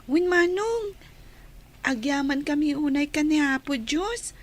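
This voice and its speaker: Cheerful Ilocano schoolgirl, very high voice, slow delivery, devoted little sister, radio drama. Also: expressive. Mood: cheerful, agreeable.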